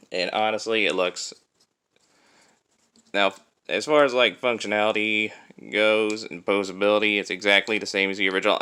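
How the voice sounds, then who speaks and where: Bright-voiced man giving a casual review. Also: fairly low pitch, clear, resonant tone. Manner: informal, step-by-step explaining. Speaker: toy reviewer. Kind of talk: casual hands-on product review.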